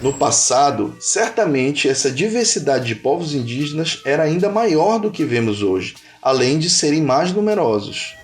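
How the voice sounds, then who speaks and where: A Brazilian man reading scripted educational narration in a measured, didactic manner. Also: fairly high pitch, sing-song, soft background music.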